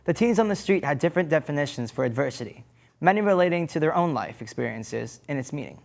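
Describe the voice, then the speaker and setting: A man's voice: a little high, animated, fast talker, gravelly. A young man co-hosting a faith show, reading scripted lines in turns with his partner. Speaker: co-host. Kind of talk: scripted presenting.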